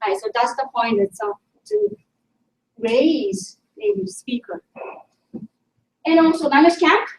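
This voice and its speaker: A woman's voice: high-pitched, big pitch swings, slow pace, pauses often. A middle-aged woman with a Japanese accent, a native Japanese speaker, giving an informal conference presentation as a linguistics teacher and often searching for words. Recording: echoey room, distant mic, faint noise.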